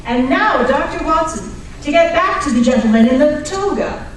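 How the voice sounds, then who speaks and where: A very echoey, distant recording of a woman acting a 1940s radio announcer. Her fairly high voice is polished, friendly and salesy, as in an old sponsor's spot. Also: lively intonation, moderate pace. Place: live performance at a radio club event. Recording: roomy, distant live stage recording with hiss.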